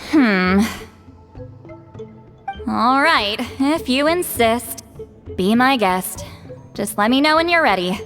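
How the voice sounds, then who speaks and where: Elderly village spirit-medium, female: wry, unhurried, slightly high, game dialogue over soft music. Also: expressive. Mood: wry, easygoing. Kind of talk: video game voice acting.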